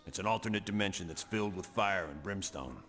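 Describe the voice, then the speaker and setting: Low, reverberant team commander. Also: male voice, fairly bright tone. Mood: calm briefing. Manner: measured briefing. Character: calm, authoritative male superhero team commander. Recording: long reverb with music.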